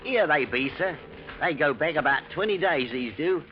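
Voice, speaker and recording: A man doing a fussy, servile old-time radio gardener with a working-class English accent. His fairly high voice bobs about expressively as he stammers and grumbles. The sound is dull and muffled. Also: animated, normal speed, faint music behind, lightly acted. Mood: deferential, puzzled. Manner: stammering, deferential. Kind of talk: 1940s radio acting. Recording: muffled, noisy vintage radio drama recording.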